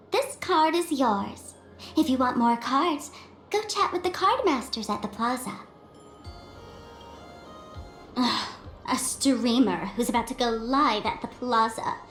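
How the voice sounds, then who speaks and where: An elegant, enigmatic game character, teasing and theatrical. Her high voice moves slowly in a roomy space with faint music. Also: fairly bright tone. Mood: teasing, knowing. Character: sly, elegant, enigmatic woman who speaks in riddles. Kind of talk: video game voice acting.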